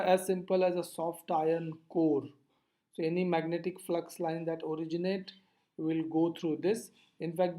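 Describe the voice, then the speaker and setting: A lecturer explaining physics over slides in a deliberate, didactic way, posing questions and then answering them. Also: mid-range voice, darkish tone.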